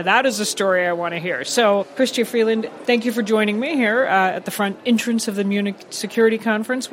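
A woman radio interviewer asking probing questions at the busy entrance of a conference. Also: mid-range voice.

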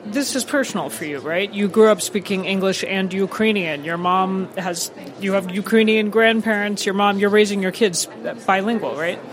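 A woman's voice: medium pitch, moderate pace, a crowd in the background, poor audio. A woman radio interviewer asking probing questions at the busy entrance of a conference.

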